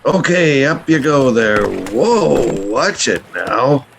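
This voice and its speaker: An actor playing a jovial, welcoming lobster boat captain in a radio play. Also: male voice, medium pitch, expressive, slow. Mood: hearty, reassuring. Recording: faint background noise.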